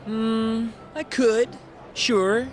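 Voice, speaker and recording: An actor playing a sleazy, wheedling, self-deprecating gambler in a video game, with a crude, slangy drawl. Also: male voice, extremely high pitch, fairly even intonation, extremely slow, a little hiss or hum, full character voice. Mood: sly, wheedling. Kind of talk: video game cutscene acting.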